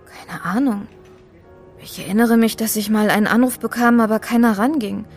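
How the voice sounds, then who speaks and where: An actress playing an inquisitive, sharp-tongued woman with a sardonic, probing manner in an audio drama. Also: fairly high pitch, moderate pace, faint music behind, close to an everyday voice. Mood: pensive. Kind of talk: German audio drama dialogue.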